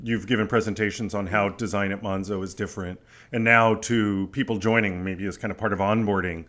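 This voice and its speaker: A man's voice: fairly low pitch, steady tone, brisk, fairly bright tone. A man hosting an interview, asking analytical, carefully worded questions in a conversational way. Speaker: interviewer, podcast host.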